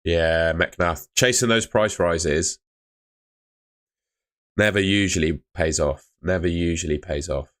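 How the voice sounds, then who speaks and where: Young man, very deep voice, hoarse and fading, easygoing live-stream chatter. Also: takes it slowly, long pauses, fairly bright tone. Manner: laid-back, chatty, reads and answers the live chat. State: losing his voice. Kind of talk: live stream chat with viewers.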